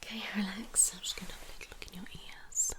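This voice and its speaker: A woman whispering gently and reassuringly in an ASMR roleplay, playing a kind midwife at a check-up. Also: medium pitch, little pitch movement, quick pace. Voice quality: soft, breathy whisper.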